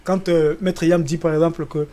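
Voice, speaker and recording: A man's voice: on the high side, moderate pace. A man from Congo speaking as a panelist in a televised debate, stumbling over repeated words as he argues. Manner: hesitant, repeats words, animated.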